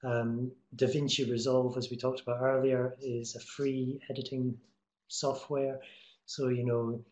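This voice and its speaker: Middle-aged man, even monotone delivery, casual encouraging mentor, webcam in an echoey room. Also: mid-range voice, measured pace. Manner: casual, encouraging, many fillers and restarts. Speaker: guest speaker, TV promo editor. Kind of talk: online talk answering students' questions. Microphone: webcam on video call. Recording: echoey room over video call.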